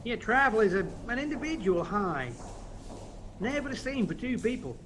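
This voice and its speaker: A man's voice: extremely high pitch, sing-song, normal speed, background noise. A man acting in an audio play as an earnest, reflective embassy official with a conversational manner.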